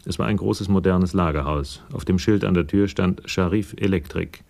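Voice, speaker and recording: An actor narrating a crime radio play as an observant storyteller, measured and matter-of-fact. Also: male voice, deep voice, creaky, darkish tone. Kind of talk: scripted narration in radio drama.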